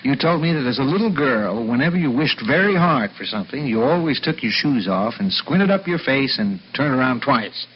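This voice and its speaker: Male actor for a smooth, doting husband who is really a con man; 1950s radio drama, muffled and hissy. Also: mid-range voice, lively intonation, a little fast, few pauses, natural voice. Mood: tender.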